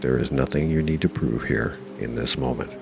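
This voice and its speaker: A man with an extremely deep, creaky voice guides a meditation over clearly audible music. He speaks slowly in short, measured phrases with long pauses, reassuring the listener as he goes. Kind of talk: guided meditation narration, self-hypnosis.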